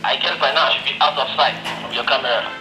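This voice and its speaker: A man's voice: mid-range voice, fast. A man checking in casually during a walkie-talkie test. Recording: echoey voice over faint music.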